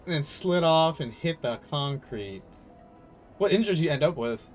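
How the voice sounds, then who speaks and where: A man with a slightly high voice chats casually to viewers as he streams a game. He is jokey and animated, and game music plays faintly behind him. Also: normal speed. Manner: animated storytelling, jokey, swearing, laughs often. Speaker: game livestreamer. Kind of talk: casual chat with viewers while gaming.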